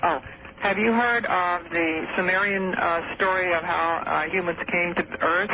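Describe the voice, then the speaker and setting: A man's voice: high-pitched, fairly even intonation, unhurried. A man calling in to a radio show, hesitant and rambling but enthusiastic. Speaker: caller. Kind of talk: call-in question. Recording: tinny phone call with clear background hiss.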